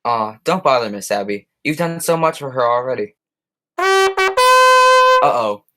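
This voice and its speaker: A young man acting a friendly, plain-spoken policeman in a high school radio play, folksy and polite. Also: huge pitch swings, slow, pushed and bright, faint music behind, exaggerated voice. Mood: folksy, easygoing.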